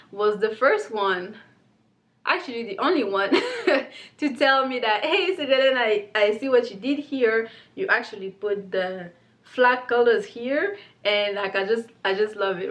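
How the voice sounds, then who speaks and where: Woman, 30s, from Congo, English, relaxed presenter talking to camera in a small studio. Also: mid-range voice. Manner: chatty and warm, many fillers like "like" and "um". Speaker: beauty brand owner presenting her palette. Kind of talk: casual explanatory talk to camera. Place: indoor studio with plain pink backdrop.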